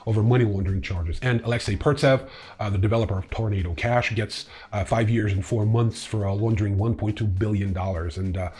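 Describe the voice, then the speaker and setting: A man's voice: fairly low pitch, fairly even intonation, brisk, a little mellow. A man hosting a newsreel, reading scripted news hesitantly and adding casual, blunt, irreverent opinions. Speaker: newsreel host.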